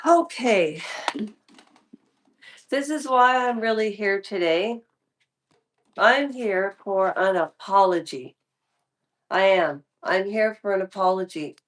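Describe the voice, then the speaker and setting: A woman's voice: medium pitch, slow pace, long pauses. A woman in her fifties talking casually to her viewers in a vlog, rambling and often restarting her sentences. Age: 50s. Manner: rambling, restarts and trails off mid-thought. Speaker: YouTube commentary creator.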